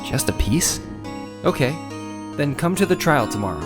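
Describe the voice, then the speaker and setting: A woman's voice: deep voice, big pitch swings, moderate pace, over music. A woman voicing an earnest, wry young male defense lawyer in a video game dub. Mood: exasperated, kind.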